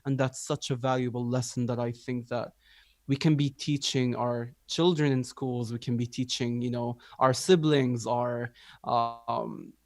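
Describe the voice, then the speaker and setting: A man's voice: medium pitch, fairly even intonation, a little slow, no echo, studio quality. A young man from Libya, a musician, talking reflectively in a casual interview with many filler words. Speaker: musician guest.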